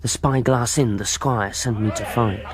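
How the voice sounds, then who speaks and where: A man's voice: medium pitch, fairly even intonation, normal speed, very noisy, lightly acted. An actor in a radio drama playing an earnest, polite young cabin boy with rustic speech. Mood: wondering. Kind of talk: radio drama acting and narration.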